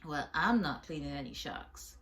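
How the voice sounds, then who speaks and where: A woman's voice: low-pitched, expressive, normal speed, fairly bright tone. A woman voicing a stubborn goby fish employee in a children's story, grumbling and defiant.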